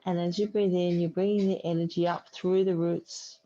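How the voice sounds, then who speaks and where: A woman yoga teacher leading a guided relaxation meditation in a soothing, unhurried way with long pauses. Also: on the low side, little pitch movement, dark tone. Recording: dull muffled audio, faint background noise.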